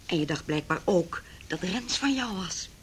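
A woman's voice: on the low side, animated, moderate pace, a little hiss or hum, natural voice. An actress playing a cold, disapproving female house owner in a radio drama, curt and imperious. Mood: cold, reproachful.

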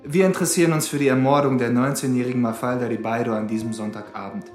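Actor as a wry, formal police detective. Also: male voice, mid-range voice, fairly even intonation, fast, echoey room, soft background music, close to an everyday voice. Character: correct, wry male police detective, sceptical. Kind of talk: naturalistic German radio crime drama acting.

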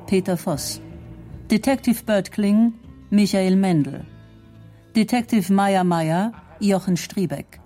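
Female radio announcer, formal credits read, dark tone, unhurried, faint music. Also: fairly low pitch. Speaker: announcer reading closing credits.

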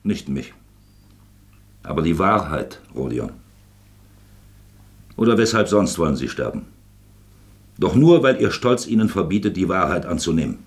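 An actor playing a shrewd, patient magistrate in a radio play, speaking deliberately and probingly with a fatherly air. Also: sits low, sing-song. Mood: grave, insistent. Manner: deliberate, probing, pausing for weight. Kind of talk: German radio play acting.

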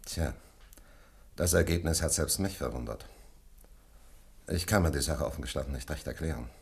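Deep, husky, formal lab scientist. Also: male voice, moderate pace, heavily acted. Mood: matter-of-fact, formal. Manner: formal, precise, explanatory. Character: methodical male laboratory scientist, puzzled by his findings. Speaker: laboratory analyst. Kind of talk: German radio play acting.

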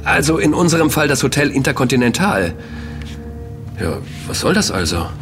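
Casting: young man, curious best friend in an audio drama, mid-pitched, animated conversational delivery. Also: normal speed, music in the background. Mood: puzzled. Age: young adult. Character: young male student, affable, inquisitive best friend. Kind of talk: German audio drama dialogue.

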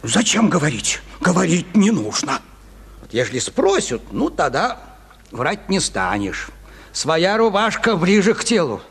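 A cautious petty official in a theatrical radio play. His highish, lively voice grumbles and reproaches querulously, with a long hall reverb around it. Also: unhurried, vocal fry, husky, full character voice. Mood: worried, grumbling.

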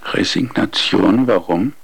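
A man conducting a serious, probing interview, speaking in a deliberate, earnest manner. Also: low voice, creaky, slightly rough, dry recording, rough recording.

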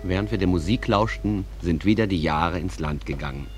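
An actor playing a calm, folksy stage manager who narrates a radio play, addressing listeners in a measured, conversational way. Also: male voice, sits low, soft background music, natural voice. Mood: calm, wistful.

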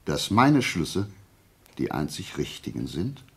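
An actor playing a methodical, self-assured amateur detective in a radio play, gravelly and husky, explaining with deliberate, didactic emphasis. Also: male voice, sits low, sing-song, full character voice. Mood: assured, analytical.

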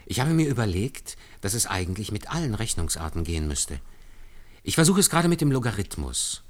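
A man's voice: lowish voice, big pitch swings, fairly quick. An actor playing an earnest, deferential man who patiently explains hand arithmetic step by step in a German radio play. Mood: eager, explanatory. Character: earnest, deferential male calculator demonstrating hand arithmetic to superiors.

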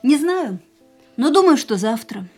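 A woman's voice: sits high, sing-song, unhurried, darkish tone, full character voice. An actress in a radio drama playing a restless, defiant young woman who hides her fear, with an emotionally charged delivery. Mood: wistful, restless. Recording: studio radio play with background music.

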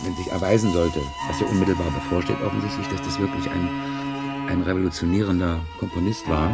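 A man's voice: sits low, steady tone, fast, loud music behind the voice. A man speaking in an earnest, lecturing way.

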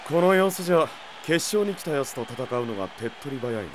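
An actor playing a calm, confident swordsman guard captain in a Japanese audio drama, speaking gruffly but with composure. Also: mid-range voice, a little fast, crowd noise, noisy background, lightly acted.

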